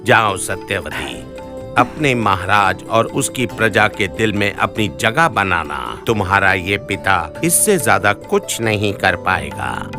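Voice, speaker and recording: A middle-aged fisherman chief in a mythological cartoon, a wary and protective father. His voice is fairly low and moves slowly, with big swings in intonation. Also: sing-song, over music, heavily acted. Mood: satisfied, solemn. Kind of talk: dramatic animated mythological dialogue.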